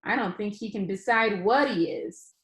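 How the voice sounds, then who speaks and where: A woman voicing a grieving, withdrawn schoolgirl in a storybook reading, subdued and too calm. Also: medium pitch, moderate pace, natural voice. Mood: flat, detached. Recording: roomy, echoing room sound.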